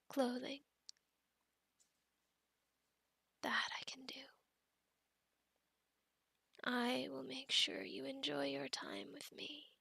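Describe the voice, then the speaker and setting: A woman playing a possessive, protective female werewolf in an ASMR roleplay, speaking in a breathy, often whispered voice with formal, soothing, deliberate phrasing. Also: high-pitched, pushed and bright, no room sound, exaggerated voice. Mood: devoted, reassuring.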